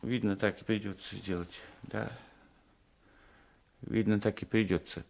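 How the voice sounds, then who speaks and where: An actor playing a meek old peasant husband in a radio play, speaking in a halting, subdued way. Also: male voice, lowish voice, steady tone, vocal fry, a little mellow. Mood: meek, hesitant. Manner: halting, subdued, mumbling repetitions. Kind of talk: 1980s radio play acting.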